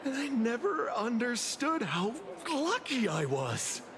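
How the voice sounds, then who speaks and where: A man's voice: extremely high pitch, wildly swooping pitch, takes it slowly, noisy background, heavily acted. An actor voicing a weary working single father in a video game, wistful behind a forced cheer. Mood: strained cheer.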